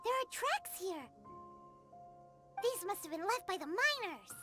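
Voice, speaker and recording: A woman voicing a small, chirpy, eager fairy girl companion with a squeaky childlike voice in a video game. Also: very animated, a little slow, music in the background, full character voice. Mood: excited.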